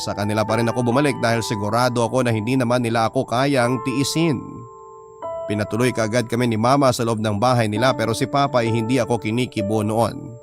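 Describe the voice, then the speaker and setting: A man's voice: medium pitch, fairly even intonation, fast talker. Filipino male storyteller over prominent music. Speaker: storyteller reading a listener's letter. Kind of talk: dramatic first-person story narration. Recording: music bed under the voice. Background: prominent background music.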